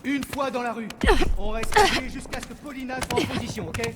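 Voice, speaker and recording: A man's voice: very high-pitched, very animated, a little fast, very noisy, exaggerated voice. A man acting an emotional, loyal young Soviet squad leader in a dramatic French game dub. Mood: resolved. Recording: loud music underneath.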